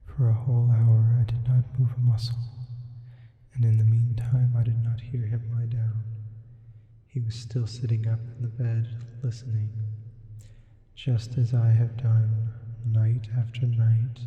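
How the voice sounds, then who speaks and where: A man voicing a sleep paralysis demon, reading a gothic horror tale in a hushed, deliberate ASMR manner, often whispering, with a reverb effect. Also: mid-range voice, monotone. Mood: hushed, sinister. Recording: heavy reverb, muffled phone-like band.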